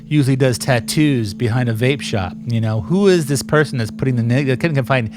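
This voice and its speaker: A man's voice: mid-range voice, a little fast. Joking man chatting with his mother. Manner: joking, puts on silly voices, laughs often. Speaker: host interviewing his mother. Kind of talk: casual chat.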